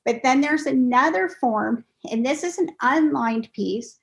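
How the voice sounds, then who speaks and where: Woman podcast guest, higher voice with a lot of fry, patient step-by-step explainer. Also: expressive, a little slow. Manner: explains in steps, patient and clear. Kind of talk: casual interview talk.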